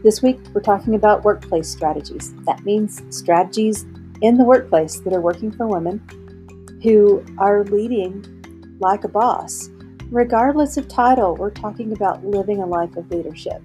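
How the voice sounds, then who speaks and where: A woman's voice: mid-range voice, normal speed, mellow, faint music behind. A woman hosting a podcast, reading a friendly scripted intro. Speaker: podcast host.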